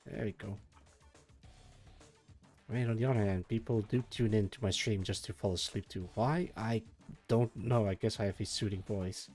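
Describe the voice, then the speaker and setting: A low-pitched man speaks softly on a home desk mic, sleepy and meandering. He mutters half to himself between remarks to his viewers. A faint energetic soundtrack plays under his voice. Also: moderate pace, pauses often. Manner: soft, meandering, mumbles to himself, trails off. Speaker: streamer. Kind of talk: casual live-stream chat while working. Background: faint energetic soundtrack music.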